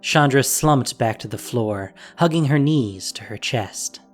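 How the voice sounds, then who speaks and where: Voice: mid-range voice, expressive, unhurried, soft background music. A narrator reading a scripted fantasy story in a dramatic audio drama style.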